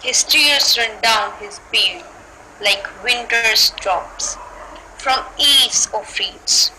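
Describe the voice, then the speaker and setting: A woman's voice: a little high, extremely slow, sharp tone, full character voice. A young woman playing a dutiful, compassionate airy spirit in an amateur Shakespeare recitation. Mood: sorrowful, earnest. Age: teenager. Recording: faintly noisy home recording.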